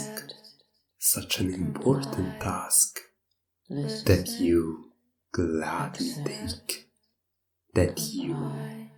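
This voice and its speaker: A woman performing hypnosis ASMR in a breathy half-whisper, giving scripted suggestions in a repetitive, commanding, hypnotic manner. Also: rumbling low, echoey room, recorded from a distance, low-quality recording.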